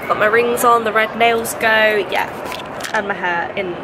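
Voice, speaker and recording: A woman's voice: on the high side, fairly even intonation, measured pace. Manchester girl in her 20s rambling at her phone cam, stuffy nose, lots of noise behind her. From England. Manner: chatty, rambling, self-correcting. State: congested, nasal from a cold. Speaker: vlogger. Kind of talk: casual chat to camera. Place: apartment room by a window. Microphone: phone held at arm's length.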